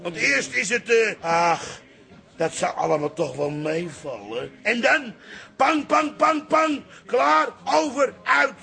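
A man's voice: extremely high pitch, big pitch swings, takes it slowly, creaky, bright, forceful tone, full character voice. An actor playing an older father behind the bar in a radio play, a melodramatic grumbler. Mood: agitated, gloomy. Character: grumbling, doom-mongering older Amsterdam father and barman. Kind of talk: radio drama acting.

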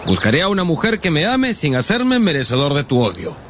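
An actor playing a proud, candid young gentleman and rejected suitor in a radio drama, frank and theatrical. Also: on the high side, huge pitch swings, a little fast. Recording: faint background ambience noise.

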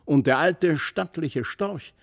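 A man's voice: a little high, takes it slowly, creaky. A man reading a literary fairy tale as a narrator, expressively and with careful articulation. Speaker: audiobook narrator.

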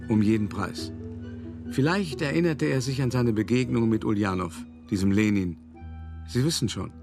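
An actor playing an urbane, self-satisfied art dealer, telling his story in a measured, articulate radio play monologue. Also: mid-range voice, natural voice. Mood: reminiscing, wry.

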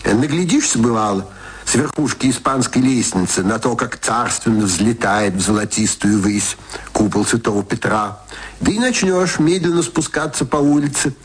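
An older man recalling a walk through a city in unhurried, lyrical prose. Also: medium pitch, breathy edge. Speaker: speaker in an archive radio recording. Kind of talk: descriptive literary recollection.

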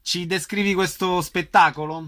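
A man's voice: high voice, steady tone, moderate pace, bright, forceful tone. A man working as a radio interviewer, putting brief, orderly questions to a guest.

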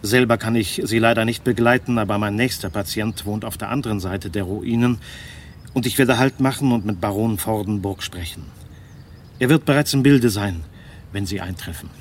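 A man plays a country doctor in a radio play. His voice is fairly low, his manner formal, courteous and pressing. A faint ambience runs behind him. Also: moderate pace, slight background noise, natural voice. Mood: urgent, courteous. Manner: formal, insistent. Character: earnest, urgent country doctor, courteous and businesslike. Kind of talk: radio drama acting.

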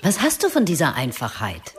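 A woman's voice: deep voice, wildly swooping pitch, moderate pace, slight background noise. A woman acting a frank, seductive European expatriate, probing yet vulnerable, in a German radio drama. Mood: challenging. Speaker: actor in a radio play. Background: loud music, bath water.

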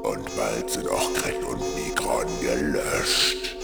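A man playing a gruff, menacing, villainous leader of a gang of computer viruses in a children's radio play. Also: fairly even intonation, slow pace, over loud music, exaggerated voice. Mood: defiant. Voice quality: very deep, gravelly. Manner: commanding, menacing.

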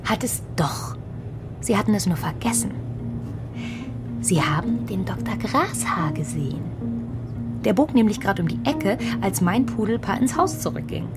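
An actress playing a self-assured crime writer in a radio drama, pointed and gently mocking in manner. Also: female voice, medium pitch, expressive, moderate pace, pauses often, over loud music, lightly acted. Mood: pointed, smug.

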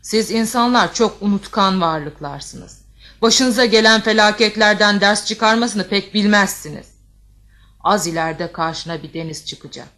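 A woman plays a giant ogress from a fairy tale. She is motherly and protective, yet commanding. Her mid-pitched voice gives measured, wise instruction. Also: fairly bright tone, lightly acted. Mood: wise, instructing. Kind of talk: Turkish fairy-tale audio drama.

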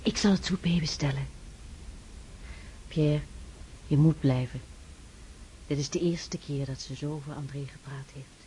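An actress playing Princess Maria, a gentle devout noblewoman in a radio play, who speaks in a kindly, pleading way. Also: deep voice, unhurried, long pauses, a little hiss or hum.

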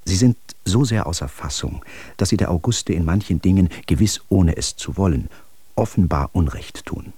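An actor playing a measured, formal friend who admonishes by letter in a literary radio drama. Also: male voice, low-pitched, warm tone, slightly rough, no echo. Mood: reproving. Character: measured, reproving male friend advising by letter.

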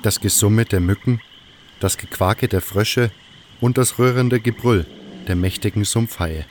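A man narrating a fan radio play as a dramatic scripted story, with measured, deliberate phrasing and pauses. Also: on the low side, darkish tone, slight background noise. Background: music.

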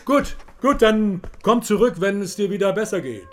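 An actor playing an insistent male psychotherapist in a radio crime drama, deliberate and coaxing as he addresses a patient. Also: high-pitched, lively intonation, over music. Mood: urgent, reassuring. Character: insistent male psychotherapist leading a probing session.

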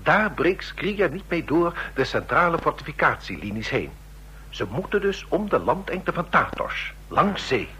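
An actor in an old radio play playing a shifty, desperate secret agent who speaks with nervous, pleading intensity. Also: male voice, a little high, sing-song, unhurried, sharp tone, exaggerated voice. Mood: conspiratorial. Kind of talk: vintage Dutch radio play acting. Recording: noisy, humming old radio recording.

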